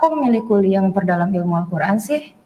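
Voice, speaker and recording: A woman's voice: mid-range voice, animated, quick pace, warm tone, a little hiss or hum, natural voice. A young woman playing a curious, chatty student in casual, eager short-film dialogue. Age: young adult. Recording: echoey, reverberant hall playback.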